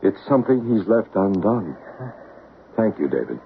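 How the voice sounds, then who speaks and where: Low solemn seance guy, hushed and measured, tinny old radio, faint music. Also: big pitch swings, a little hiss or hum. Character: calm man leading a seance, narrating. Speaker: narrator and seance leader. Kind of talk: 1970s radio drama acting.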